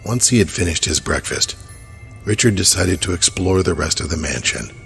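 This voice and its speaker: A man narrating a horror audio drama in a grave, measured storytelling manner. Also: sits low, animated, vocal fry, over music.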